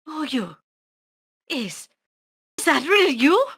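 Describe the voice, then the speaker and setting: A woman voicing a stunned, emotional female fantasy character, halting and disbelieving, in a table read. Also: sits high, big pitch swings, fairly bright tone, full character voice.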